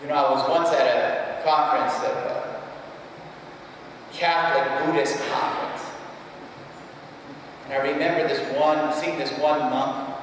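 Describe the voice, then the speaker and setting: Echoey high-voiced man preaching. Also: fairly even intonation. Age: 50s. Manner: emphatic, halting, repeats key phrases for weight; poses rhetorical questions to the audience. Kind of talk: live keynote address, storytelling religious teaching. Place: large reverberant hall before an audience. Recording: echoey hall, distant mic, faint noise.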